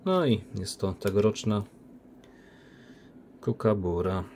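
A man unboxing silver coins, talking casually on a phone recording with a relaxed, chatty manner. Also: fairly low pitch, slow pace, a little hiss or hum. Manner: relaxed, chatty, with many diminutives and fillers. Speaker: coin collector unboxing silver. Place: indoor room by a window. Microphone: phone camera.

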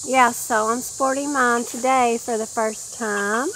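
Higher-voiced woman chatting outdoors amid background noise. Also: fairly even intonation, a little slow. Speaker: travel vlog co-host. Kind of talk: casual chat. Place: outdoors at a park campground.

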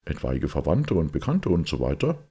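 Man acting brisk, formal young gentleman. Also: rumbling low, huge pitch swings, breathy edge, exaggerated voice. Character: sad, elegant young man, businesslike.

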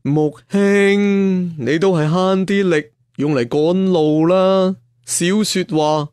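Man acting weary young gentleman, parched, feeble, chiding, drawn-out words, raised pitch, fantasy audio story. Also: fairly even intonation, smooth, no echo, clean studio sound.

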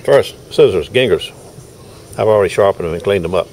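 A man's voice: low voice, expressive, brisk, a little mellow. Older man, folksy vlogger, easygoing chatter, light outdoor noise. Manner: chatty, folksy, laughs often. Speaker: knife sharpener vlogger. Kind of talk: casual running commentary. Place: outdoor farmers market.

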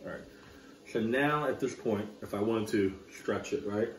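A man's voice: fairly low pitch, moderate pace. A middle-aged man in a home kitchen learning to stretch pizza dough, chatty and curious, asking questions and laughing easily. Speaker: guest learning to make pizza. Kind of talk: casual chat in a cooking demo. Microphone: camera mic from across the counter. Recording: echoey kitchen, voice a bit far off, faint room noise.

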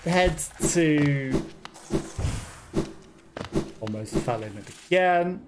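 A man musing playfully through a let's play. His delivery is slow and swings widely in pitch, over clear background noise and clicks. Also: animated. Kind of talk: casual gameplay commentary. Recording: clearly noisy game stream with clicks.

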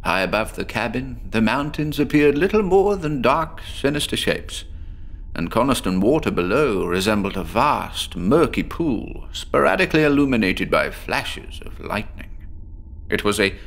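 A gravelly man, a chatty patient in a horror radio play, as a man voices him, rambles cheerfully through his tale. His pitch swings up and down in lively turns, and he keeps slipping in little chuckles. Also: normal speed. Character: chatty, apple-obsessed middle-aged male patient. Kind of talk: horror audio drama.

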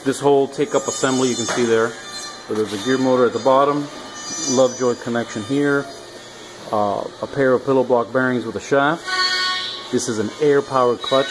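A middle-aged man casually explaining a machine hands-on in short, halting phrases, recorded on a handheld camera in a workshop. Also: mid-range voice, low-quality recording. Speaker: workshop machine builder and video host. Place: large indoor metal workshop. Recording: clear shop noise on handheld camera audio.

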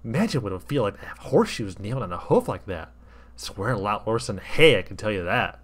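A man narrates a comic story in a fairly low voice. His intonation swings widely, with a wry, mock-earnest tone throughout. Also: very animated, quick pace. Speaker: fan fiction reader.

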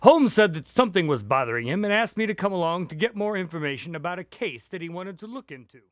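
A man's voice: high voice, lively intonation, fast talker, no room sound, clean studio sound. A man playing a genial, polite doctor and storytelling sidekick in an audio drama. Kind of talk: audio drama dialogue and narration.

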